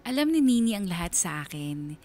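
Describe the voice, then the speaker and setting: A woman playing a young letter writer narrating her painful story in a measured, reflective way for a radio drama. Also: on the low side, sing-song, mellow, faint music behind. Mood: reflective, sorrowful.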